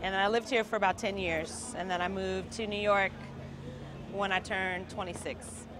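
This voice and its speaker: A woman's voice: mid-range voice, fairly even intonation, moderate pace. A woman from Southern California with an American accent, telling her own story in an interview. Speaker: documentary interviewee. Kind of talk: personal interview narrative. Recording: loud street crowd and traffic.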